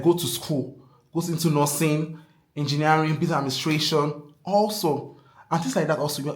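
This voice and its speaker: A man's voice: mid-range voice, a little mellow. A man with a Nigerian accent giving casual advice in a vlog, emphatic and earnest, repeating his key points and pleading with viewers. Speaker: vlogger. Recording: echoey room.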